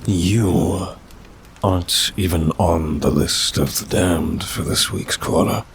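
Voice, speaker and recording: A man playing a weary, sardonic demon in an ASMR roleplay, with a dry, haughty delivery and drawn-out sighs. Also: sits low, slight background noise. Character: weary, sardonic male demon, aloof bureaucrat of damnation.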